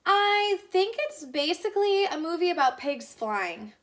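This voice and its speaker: A woman voicing an excitable married woman in a storybook read-aloud, speaking with gushing wonder. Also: extremely high pitch, a little slow, voice pushed up, exaggerated voice. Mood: cheerful.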